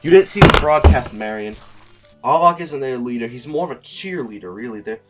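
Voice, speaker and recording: A man's voice: mid-range voice, lively intonation, a little fast, over loud music, lightly acted. An actor playing an anxious, frightened nobleman in an audio drama, stammering and urgent as he speaks. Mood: nervous urgency. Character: anxious, frightened male nobleman fleeing a violent uprising.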